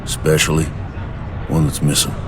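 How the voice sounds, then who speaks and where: A gruff Martian barkeep from a sci-fi game, with an extremely deep voice. He talks in a weary, folksy, grudging way over clear ambient noise. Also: monotone, normal speed. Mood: guarded, grumbling. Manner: gruff, folksy, plain-spoken. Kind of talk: video game character dialogue.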